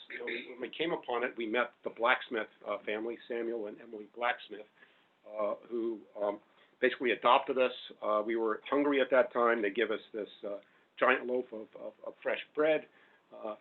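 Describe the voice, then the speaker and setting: A middle-aged man giving a panel talk as a professor, loud-voiced and hesitant, full of fillers. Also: mid-range voice. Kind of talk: public talk with slides. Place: meeting room. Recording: phone-like narrow audio.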